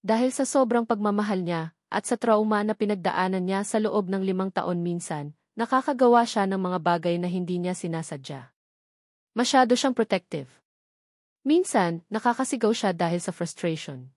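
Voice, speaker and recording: A woman's voice: mid-range voice, normal speed, darkish tone, dry recording, studio quality, lightly acted. A woman voicing a scheming woman in an audio story, sweet and falsely sympathetic. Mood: false concern. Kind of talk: audio story character dialogue.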